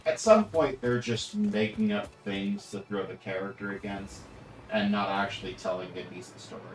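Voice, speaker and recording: A man's voice: fairly low pitch, normal speed, reverberant, far from the mic, a little hiss or hum, rough recording. A man hosting a gaming livestream, reading out chat and bossing his partner around in a dry manner. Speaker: livestream host. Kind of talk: casual chat while gaming.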